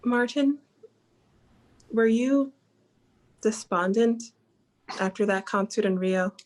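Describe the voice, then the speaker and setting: An actress plays a concerned woman in an old-time radio play. Her voice sits somewhat high, and she speaks in a measured, earnest manner, the steady confidante who questions and listens. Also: low-quality recording. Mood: gently probing. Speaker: actor. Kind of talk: 1940s radio drama acting.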